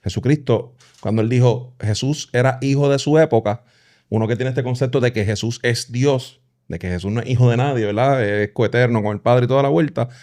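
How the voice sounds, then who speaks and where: Fast-talking Puerto Rican man. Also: mid-range voice. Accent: Puerto Rican Spanish. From Puerto Rico. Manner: quick, lively, sometimes mixes in English. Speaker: podcast co-host. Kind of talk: casual church discussion.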